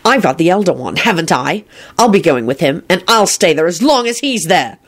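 A woman's voice: fairly low pitch, big pitch swings, a little fast. A woman voicing a hot-tempered young man who talks back defiantly, in a storybook reading. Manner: defiant, hissing.

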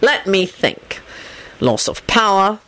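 A woman voicing a calm, dignified male law officer with a polite, measured, dry manner in a story reading. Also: mid-range voice, rough recording. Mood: thoughtful, dry.

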